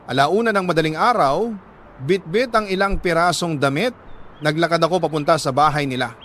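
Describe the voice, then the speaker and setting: Filipino guy doing sad radio drama storytelling, kinda high voice, slow dramatic pauses, noisy ambience behind. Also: fairly bright tone. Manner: sorrowful, deliberate, with dramatic pauses. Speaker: radio drama host reading listener letters. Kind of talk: dramatic scripted storytelling, first-person letter reading.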